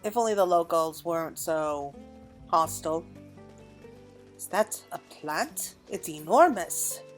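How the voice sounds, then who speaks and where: A woman's voice: on the low side, slow pace, soft background music. A woman giving casual let's play commentary as a game streamer, thinking aloud and reacting as she plays.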